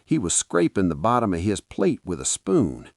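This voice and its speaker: A man's voice: deep voice, huge pitch swings, moderate pace, husky, dry recording. A man with a Nigerian accent narrating a folktale dramatically in the first person, as a podcast storyteller.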